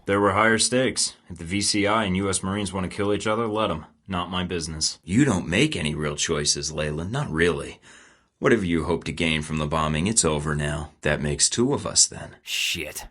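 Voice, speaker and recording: A man's voice: low voice, fairly bright tone. An actor voicing a young male secret agent in a video game, dry and wry with sardonic one-liners. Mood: cocky. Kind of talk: game voice lines. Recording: studio game dialogue.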